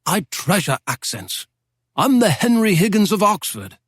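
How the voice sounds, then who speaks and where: A man reads as a chatty older English professor, witty and exact, his voice lifting into a fairly high register and leaping about with animated inflection. The sound is close and dry, with no room around it. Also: unhurried, husky, no room sound, heavily acted. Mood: genial. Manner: lively, playful, precise diction. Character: genial older male Oxford professor, witty and talkative.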